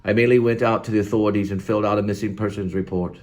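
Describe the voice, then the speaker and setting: A man's voice: on the low side, steady tone, very fast, a little mellow, natural voice. A man playing a grieving, obsessed ex-minister turned werewolf hunter in a solemn, wordy dramatic monologue. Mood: bleak, weary.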